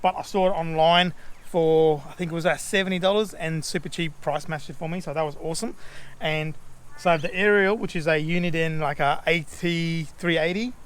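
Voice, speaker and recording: A man's voice: fairly high pitch, steady tone, normal speed, fairly bright tone. An Australian man talking casually and chattily, presenting a do-it-yourself how-to vlog. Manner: informal, chatty, mate-to-mate. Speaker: DIY video host. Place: outdoors beside a vehicle. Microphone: action camera. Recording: clear background noise, outdoor camera audio.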